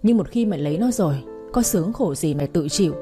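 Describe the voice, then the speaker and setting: Một người phụ nữ đọc lời một ông bố lớn tuổi, nói giọng nghiêm khắc, dứt khoát. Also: female voice, fairly low pitch, lively intonation, fast talker, dark tone, soft background music, lightly acted. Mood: stern. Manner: stern, clipped. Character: stern older father, weary and firm.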